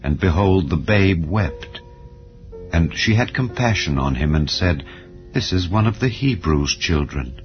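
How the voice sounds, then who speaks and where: A man narrating scripture as a dramatized audiobook, in a solemn, measured storytelling manner. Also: low voice. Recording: slightly dull audio over music. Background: clear background music.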